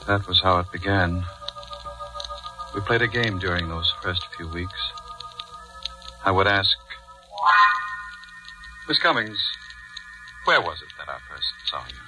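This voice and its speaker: Deep-voiced guilty husband, old radio. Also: male voice, animated, unhurried, pauses often, low-quality recording. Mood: brooding narration. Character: guilt-ridden husband drawn to his wife's nurse, narrating his confession. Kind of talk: 1940s radio drama acting and narration. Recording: vintage 1940s radio recording, music bed.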